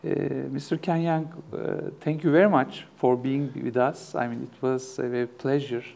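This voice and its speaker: Middle-aged host with gravelly voice, Turkish native. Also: male voice, medium pitch, steady tone, unhurried, a little mellow. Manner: hesitant, many fillers and restarts in English. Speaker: interview host, professor. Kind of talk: interview questions. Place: studio with backdrop.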